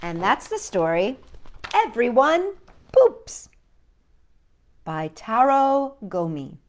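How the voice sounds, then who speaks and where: Slow, sing-song woman reading to children. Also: a little high, wildly swooping pitch. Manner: playful, addresses young listeners with questions. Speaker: children's storytime host. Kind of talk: children's picture book read-aloud with chatty asides.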